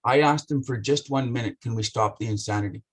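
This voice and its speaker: A man with a rough voice talking passionately and bluntly in a casual business discussion, swearing often. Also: medium pitch, brisk.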